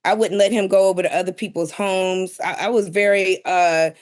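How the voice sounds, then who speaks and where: A middle-aged woman in a casual podcast panel discussion, speaking in an animated, emphatic, slangy storytelling way. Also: mid-range voice, normal speed.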